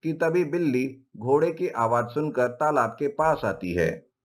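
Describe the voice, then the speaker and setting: A man's voice: medium pitch, lively intonation, a little slow. A man giving scripted narration for a children's moral story.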